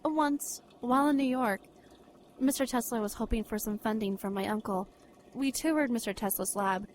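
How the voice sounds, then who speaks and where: A woman's voice: fairly high pitch, moderate pace, a little hiss or hum, lightly acted. An actress playing a curious, refined young society lady in a period radio drama, polite, composed and formal.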